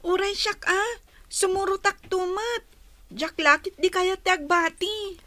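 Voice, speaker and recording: A woman's voice: very high-pitched, unhurried, fairly bright tone, no room sound. A woman playing a plaintive, hurt young daughter who pleads in a radio drama. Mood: anxious. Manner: plaintive, whining.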